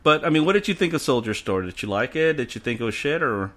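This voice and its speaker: A man's voice: mid-range voice, expressive, quick pace, a bit bright. A man co-hosting a casual film discussion, blunt and opinionated, often laughing. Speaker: podcast co-host.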